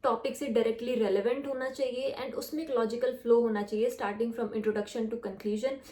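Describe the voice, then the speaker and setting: A woman's voice: fairly high pitch, steady tone, warm tone. Young Indian woman teaching, echoey room. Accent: Indian English. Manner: explains step by step, slows down on English phrases. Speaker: test-prep YouTuber. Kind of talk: casual tutorial, mixing Hindi and English. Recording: echoey room with faint noise.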